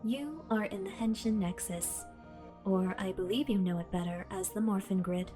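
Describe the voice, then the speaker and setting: A woman voicing a calm solemn belt AI. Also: medium pitch, close to an everyday voice. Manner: measured, formal, solemn. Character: calm all-knowing female AI spirit in a belt. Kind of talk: fan audio drama. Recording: music bed underneath.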